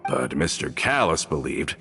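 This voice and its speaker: A man's voice: very deep voice, very animated, moderate pace, bright, forceful tone, faint music behind, full character voice. An actor voicing a loyal, earnest young male gang member in a game, worried and explaining at length. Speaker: henchman to the boss. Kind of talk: game voice acting.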